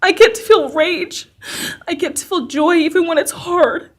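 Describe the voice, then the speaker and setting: Young woman, high register, emotional. Solemn courtroom statement, delivered in short emphatic phrases. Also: moderate pace. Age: young adult. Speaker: survivor giving a victim impact statement. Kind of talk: prepared personal statement read in court.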